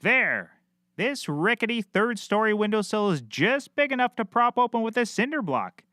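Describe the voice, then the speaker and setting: A man playing a bumbling, oblivious, excitable private detective in a scripted audio comedy, melodramatic and cheerfully clueless. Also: sits high, moderate pace, dry recording, clean studio sound, heavily acted.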